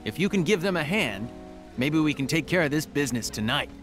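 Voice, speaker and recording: An actor playing an earnest young martial-arts superhero in a video game, friendly in manner. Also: male voice, a little high, expressive, brisk, a bit bright, faint music behind. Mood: earnest, upbeat.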